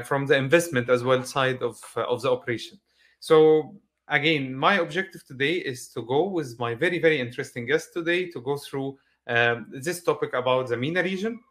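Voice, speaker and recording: A man's voice: medium pitch, fairly bright tone. Man hosting a panel session. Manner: formal, welcoming introductions. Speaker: host, moderator.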